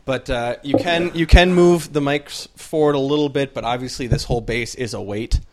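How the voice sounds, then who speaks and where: A man hosting a podcast in a small room, calmly giving practical instructions about mic distance. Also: mid-range voice, moderate pace. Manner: practical, gives mic directions. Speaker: podcast host. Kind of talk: casual chat and instructions.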